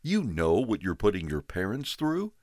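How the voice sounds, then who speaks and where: Man doing a gruff strict cop dad, snaps short lines, dry sound. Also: on the low side, wildly swooping pitch, normal speed, darkish tone, no room sound, pitched above the performer's own voice. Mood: angry. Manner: snapping, clipped. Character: stern father, a police officer, quick-tempered.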